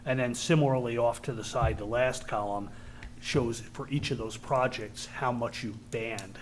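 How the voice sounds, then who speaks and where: Average-pitched male voice, methodical, precise financial explanation, slight meeting room noise. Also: steady tone, moderate pace. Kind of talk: explaining columns of a financial report. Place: town board meeting room.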